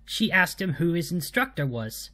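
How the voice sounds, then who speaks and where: A woman narrating a humorous scripted story as a storyteller, with deliberate emphasis on the punchlines. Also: lowish voice, sing-song, sharp tone.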